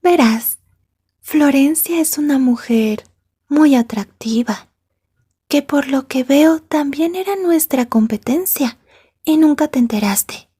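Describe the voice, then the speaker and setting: A woman playing a possessive, jealous yandere schoolgirl in an ASMR roleplay, soft and breathy, taunting and slipping into whispers. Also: high voice, slow pace. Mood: sinister, taunting.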